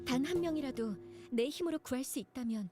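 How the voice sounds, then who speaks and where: An earnest young woman lawyer in a visual novel game, wounded and guarded. Her soft-spoken voice sits fairly high and moves very quickly. Music plays prominently behind her. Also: expressive. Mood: earnest, sorrowful. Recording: background music throughout.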